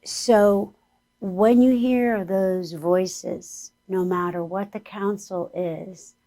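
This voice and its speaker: A woman spiritual teacher speaks at an unhurried, deliberate pace, her voice a little hoarse. She guides an earnest, soothing meditation exercise, with a pitch that sits in the middle of the usual range for a woman. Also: fairly even intonation, poor audio. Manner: slow, gentle and soothing guidance.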